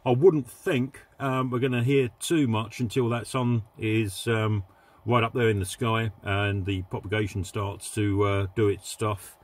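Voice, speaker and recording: A man's voice: on the low side, fairly even intonation, unhurried. A man with an English accent chatting casually as a CB radio vlogger, recorded inside a parked vehicle. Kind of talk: casual vlog chat and radio operating.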